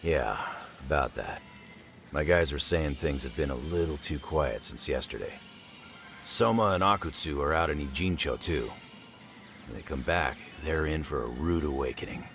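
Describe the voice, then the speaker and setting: A man playing a gruff, hot-headed, loyal ex-yakuza in an English-dubbed crime game, with a blunt, defensive manner. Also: extremely low pitch, animated, moderate pace, a bit bright, faint music behind, exaggerated voice. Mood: grim.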